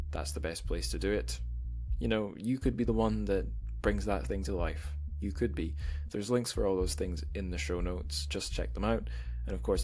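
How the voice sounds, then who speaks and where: Low-voiced chatty male podcast host. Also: a little mellow. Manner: joking, self-deprecating, chatty with many restarts. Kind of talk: casual interview chat and show outro.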